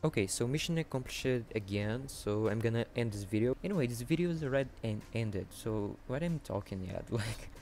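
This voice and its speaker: Brazilian man with halting English. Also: medium pitch, lively intonation, a little mellow, soft background music. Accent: Brazilian Portuguese. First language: Portuguese. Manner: halting, searching for English words, chuckling often. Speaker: gaming channel host. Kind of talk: casual gameplay commentary.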